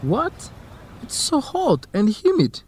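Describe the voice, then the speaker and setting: An actor with an Ethiopian accent playing an organized, courteous, gently grumbling male researcher in an educational radio drama, speaking in a measured, explanatory way. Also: extremely high pitch, wildly swooping pitch, slight background noise, exaggerated voice. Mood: surprised, grumbling.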